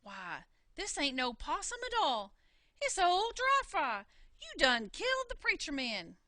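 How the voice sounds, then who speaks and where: A woman's voice: sits high, wildly swooping pitch, a little slow, sharp tone, no room sound, clean studio sound, voice pushed up, full character voice. A woman voicing a blunt, blame-shifting country brother in a storybook, in a folksy way. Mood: alarmed.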